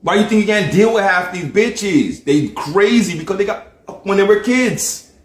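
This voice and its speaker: A man's voice: high-pitched, animated, moderate pace. Ranting man. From Jamaica. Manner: heated, profane, preachy, fires rhetorical questions. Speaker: livestream host. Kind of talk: ranting monologue. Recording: roomy echo.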